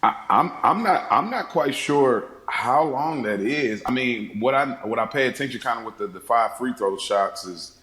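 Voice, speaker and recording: A man's voice: medium pitch, animated, normal speed, a bit bright. A man chatting casually as a panelist on a film podcast, with a roomy, echoey pickup. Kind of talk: casual chat.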